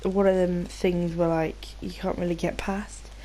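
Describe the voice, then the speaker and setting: A woman's voice: lowish voice, flat delivery, measured pace, warm tone, a little hiss or hum, rough recording. A British woman giving casual, rambling gameplay commentary, informal and sweary, sounding slightly nasal from a cold. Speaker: gaming video host.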